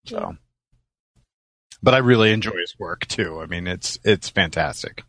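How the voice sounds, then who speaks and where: A man with a low voice chats as a podcast co-host. His delivery is informal and somewhat hesitant, and the recording is dry, without any echo. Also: unhurried, a bit bright. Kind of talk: casual podcast chat.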